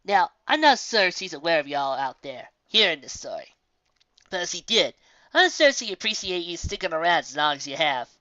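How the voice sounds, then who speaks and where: A woman's voice: on the low side, very animated, normal speed, pushed and bright, no room sound, highs cut off. A woman voicing a chatty old country woman from a storybook, folksy and rambling. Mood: warm, rambling. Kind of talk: storybook character voice.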